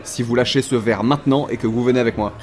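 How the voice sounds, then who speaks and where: A man's voice: medium pitch, steady tone, fast, darkish tone, close to an everyday voice. A man playing a scheming mastermind in a comic audio drama, formal, sarcastic and impatient. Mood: persuasive. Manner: dry, sarcastic, polite but impatient.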